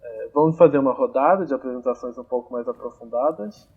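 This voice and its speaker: A young man hosting a podcast in a relaxed, casual way, thinking aloud with many fillers, recorded on amateur home gear. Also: medium pitch, quick pace, husky, narrow, tinny audio. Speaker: podcast host. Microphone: amateur home recording, phone or computer.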